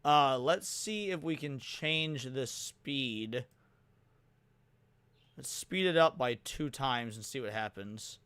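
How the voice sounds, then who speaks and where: A man's voice: mid-range voice, slow, a bit bright, clean tone, no room sound. A man giving casual live commentary while he works, muttering to himself and thinking aloud. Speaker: reaction host.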